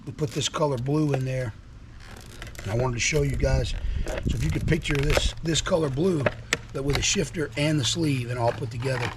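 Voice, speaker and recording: Man, hoarse voice, excited and folksy, outdoors, clear background noise. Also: mid-range voice, normal speed. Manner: enthusiastic, repetitive, folksy. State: hoarse from illness. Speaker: trucking vlogger. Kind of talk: casual product show-and-tell. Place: outdoors at a house.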